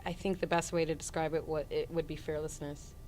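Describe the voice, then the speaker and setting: A woman actor with a very low voice answers interview questions thoughtfully, pausing often with fillers before pressing her point. A faint rumble sits under the recording. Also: flat delivery, a little mellow. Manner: thoughtful, many fillers, grows emphatic. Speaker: actor guest. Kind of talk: casual interview.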